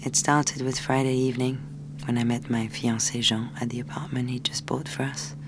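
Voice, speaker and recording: A young woman with a very deep voice, quietly bitter and dryly sardonic in an intimate audio drama. Her is understated, and noisy ambience sits behind her. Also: level tone, moderate pace. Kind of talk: naturalistic audio drama acting and intimate narration.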